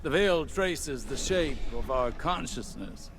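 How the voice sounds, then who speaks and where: A man playing a solemn, intense scholar in a video game, reasoning aloud in a measured, deliberate way. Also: medium pitch, animated. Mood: grave. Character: cerebral, solemn male scholar, intense and absorbed. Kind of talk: dramatic video game exposition. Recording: noisy game ambience behind voice.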